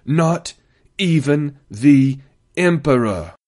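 A man's voice: medium pitch, animated, a little slow, darkish tone. A man voicing a gaunt, haughty imperial inquisitor in an audiobook, speaking with intense, imperious emphasis. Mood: quietly intense.

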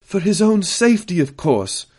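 A man's voice: medium pitch, lively intonation, measured pace, darkish tone. A man voicing a gaunt, haughty imperial inquisitor in an audiobook, speaking with intense, imperious emphasis. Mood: indignant.

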